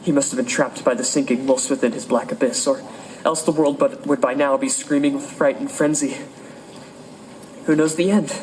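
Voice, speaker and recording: A man's voice: medium pitch, fairly even intonation, normal speed, a little mellow, a little hiss or hum, close to an everyday voice, low-quality recording. A man playing a doomed scholar giving a dread-filled final account, portentous and faltering, in ornate radio-play narration. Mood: anguished.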